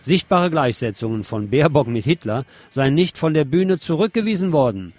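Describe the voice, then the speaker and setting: A man's voice: on the high side, animated, moderate pace, a little mellow, no room sound. A man presenting on the radio, reading scripted news and adding commentary.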